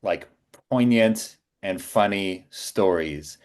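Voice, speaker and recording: A man's voice: low voice, takes it slowly, darkish tone. A man chatting casually as a guest on a podcast, talking in an enthusiastic, emphatic storytelling way. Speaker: guest voice actor and audiobook narrator.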